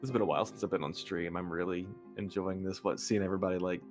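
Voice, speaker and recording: A man's voice: low-pitched, fairly even intonation, fast, soft background music. A man guesting on a livestream, chatting casually in a hesitant, self-deprecating way into a close USB microphone. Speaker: guest streamer.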